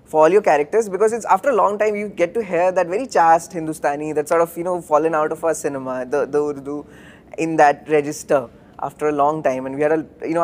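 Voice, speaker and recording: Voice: medium pitch, a little mellow, slight background noise. An interviewer who rambles and thinks aloud while asking questions.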